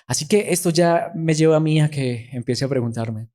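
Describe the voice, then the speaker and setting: A man preaches in a fairly high voice, rising and falling as he acts out the people he quotes. He fires rhetorical questions at his listeners. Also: moderate pace, warm tone. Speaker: pastor. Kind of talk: sermon.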